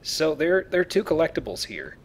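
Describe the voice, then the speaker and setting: A man's voice: on the high side, wildly swooping pitch, brisk, a little hiss or hum. A man hosting a casual Let's Play, explaining the game and joking as he goes. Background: video game sounds.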